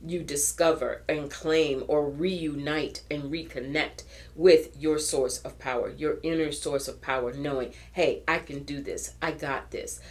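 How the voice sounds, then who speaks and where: Low-voiced woman, a little raspy. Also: darkish tone. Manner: earnest, encouraging, spiritual phrasing with many restarts. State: slightly raspy early-morning voice. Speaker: tarot reader. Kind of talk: pick-a-card tarot reading.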